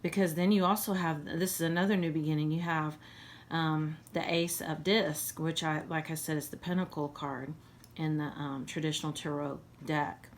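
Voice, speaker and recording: A woman with a hoarse voice giving a casual tarot reading, halting with many ums and frequent throat clearing. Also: sits low, little pitch movement, slight background noise. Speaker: tarot reader.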